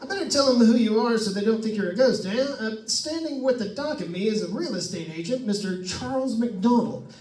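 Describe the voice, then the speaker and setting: Echoey distant recording, man playing chatty radio host, high voice, wisecracks, old-time radio drama. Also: expressive, a little fast, a little mellow. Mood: curious, chatty. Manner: wisecracking, folksy asides to listeners. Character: jokey, chatty male radio host on a ghost hunt. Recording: echoey room, distant microphone.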